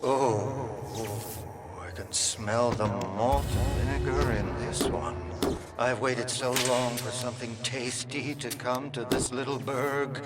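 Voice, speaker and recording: A man's voice: mid-range voice, slow, heavy background noise, lightly acted. An actor playing a flamboyant, unhinged male artist and impresario in a video game, with a theatrical, grandiose delivery. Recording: loud music behind the voice.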